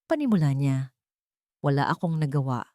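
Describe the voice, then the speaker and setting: A woman's voice: a bass voice, sing-song, moderate pace, darkish tone, dry recording, studio quality. A woman reading a scripted dramatic story in the first person, as an audiobook narrator.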